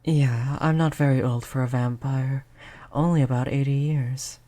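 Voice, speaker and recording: A performer voicing a gentle, polite vampire in an intimate roleplay audio, speaking in a soothing, conversational way at a medium pitch. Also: steady tone, measured pace, close to an everyday voice. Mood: friendly, reminiscing. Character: gentle, reassuring vampire, eighty years old, eternally polite. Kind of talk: roleplay audio drama, intimate one-on-one talk to listener.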